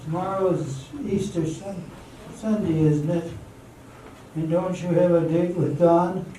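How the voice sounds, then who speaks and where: A man's voice: sits high, fairly even intonation, takes it slowly, warm tone. A man playing an earnest, reassuring friend in an old-time radio drama, recorded at a distance in an echoey room. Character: Johnny, earnest, reassuring male friend giving love advice. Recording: echoey, distant stage mic with faint noise.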